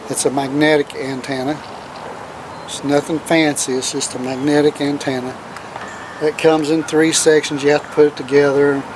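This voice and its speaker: A man giving a casual, hands-on demonstration as a video host, talking in a folksy, informal way. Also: on the high side. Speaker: host of a do-it-yourself radio video. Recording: clear outdoor background noise with vehicles. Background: outdoor ambience, vehicle noise.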